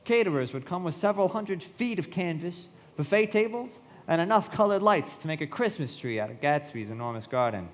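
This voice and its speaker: Man acting a lively young narrator, long hall reverb. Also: on the high side, natural voice. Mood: reflective. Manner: measured, descriptive storytelling. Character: reflective, polite young male narrator and party guest. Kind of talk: live radio play narration.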